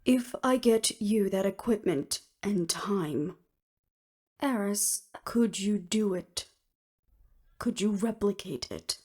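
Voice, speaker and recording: A woman's voice: mid-range voice, slow pace, a little mellow, slightly rough. A woman playing a cool, determined woman official in an audio roleplay, with clipped, businesslike delivery. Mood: firm, resolute. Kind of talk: scripted audio roleplay acting.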